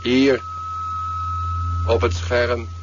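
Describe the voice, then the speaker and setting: An actor playing a wary, cold henchman who speaks tersely and deliberately in a 1970s science-fiction radio drama. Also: mid-range voice, little pitch movement. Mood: alert. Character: wary, cold male henchman watching a screen. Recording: dull, noisy 1970s radio recording.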